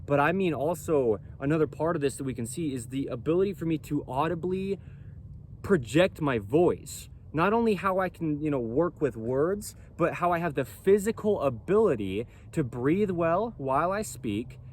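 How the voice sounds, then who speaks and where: A man with a boomy, projecting voice, a clothing company founder explaining in an emphatic monologue that keeps checking in with "right?" and "okay?". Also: lively intonation, moderate pace, slight background noise. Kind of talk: self-recorded explainer monologue.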